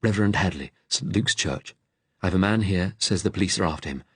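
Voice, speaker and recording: A kindly but tired clergyman, as a male narrator plays him in an audiobook. He is gentle and direct, with a brisk note of authority, and the sound is clean and dry. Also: on the low side, slightly rough, no echo. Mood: crisp, brisk. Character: kindly, weary male vicar, calm and firmly commanding.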